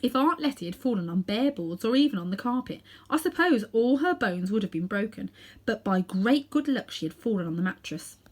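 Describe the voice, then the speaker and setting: A woman narrating a children's storybook in an expressive reading style. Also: fairly high pitch, brisk. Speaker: storybook reader.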